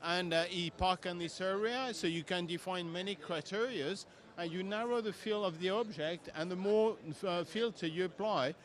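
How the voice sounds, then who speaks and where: A man's voice: high-pitched, normal speed. A man with non-native phrasing giving an emphatic product demo at a trade show stand. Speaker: software demonstrator.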